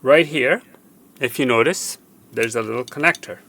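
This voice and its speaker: A man presenting a hands-on repair tutorial, explaining step by step in a halting way and repeating himself. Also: mid-range voice, pushed and bright. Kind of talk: hands-on how-to demonstration. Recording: faint background noise.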